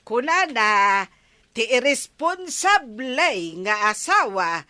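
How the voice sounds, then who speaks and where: A woman plays a nosy, preachy villager in an Ilocano comedy drama, with an Ilocano accent. She needles and admonishes in a high, unhurried voice, recorded close and dry. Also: very animated, pushed and bright, smooth, no room sound, heavily acted. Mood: admonishing. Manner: chuckling, teasing, drawn-out admonishing.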